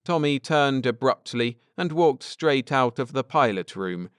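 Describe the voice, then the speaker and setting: A man narrating a scripted audiobook story for adults at bedtime, in a measured, dramatic way. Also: on the low side, a bit bright, clean tone, dry recording, studio quality.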